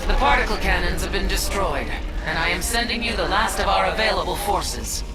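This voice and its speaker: An actress as a female alien commander from a video game, resolute and formal. Her medium-pitched voice gives firm orders from a little way off, with loud dramatic music underneath. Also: lively intonation, brisk, distant mic, close to an everyday voice, rough recording. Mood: steady resolve.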